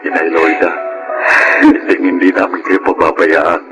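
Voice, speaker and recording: A man playing a cautious, dutiful young adult son, measured and sincere in a Filipino radio drama. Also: sits low, flat delivery, over loud music, natural voice, poor audio. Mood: sincere, reassuring.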